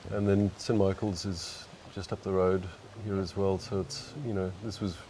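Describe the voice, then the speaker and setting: A man, chatting in an interview in a relaxed, thoughtful way. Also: low-pitched, normal speed, a little hiss or hum. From Australia. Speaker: guest musician. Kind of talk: casual interview chat.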